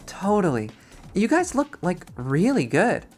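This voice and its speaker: A reader playing a giddy young café girl who gushes and rushes her words. Her high voice bounces around. She comes from a visual novel readthrough. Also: wildly swooping pitch, darkish tone, soft background music. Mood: gushing. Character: bubbly young woman café worker.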